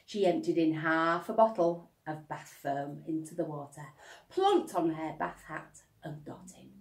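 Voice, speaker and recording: A woman's voice: sits low, big pitch swings, a little slow. A woman narrating a children's storybook like a teacher reading aloud. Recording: echoey room, slightly distant microphone.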